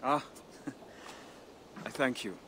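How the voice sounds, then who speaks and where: An actor playing an earnest, modest young member of a secret order in a video game, gentle, sincere and formal. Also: male voice, medium pitch, drawn-out words, a little hiss or hum. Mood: humble, sincere. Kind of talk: video game cutscene dialogue.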